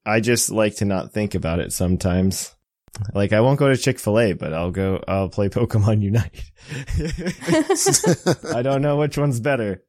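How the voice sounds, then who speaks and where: A man with a fairly low voice hosts a casual podcast. He talks in a joking, self-deprecating way, recorded close and dry. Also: sing-song, unhurried, a little mellow, no room sound. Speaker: podcast host. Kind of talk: casual chat.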